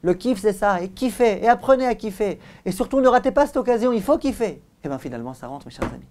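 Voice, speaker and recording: A man delivers a Torah lesson as a rabbi, in a very mellow, relaxed tone. He stresses his points by repeating phrases. He speaks straight to his listeners and often puts rhetorical questions to them. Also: sits high, fast talker. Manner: emphatic, repeats phrases for effect. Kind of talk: religious lecture.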